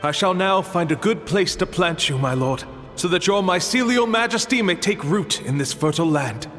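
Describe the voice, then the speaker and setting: A man's voice: sits high, animated, normal speed, a bit bright, faint music behind. An actor playing an entranced, obedient male servant in a video game, speaking formally and reverently to his master, his voice in reverb. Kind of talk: video game character acting. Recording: voice in a long reverb.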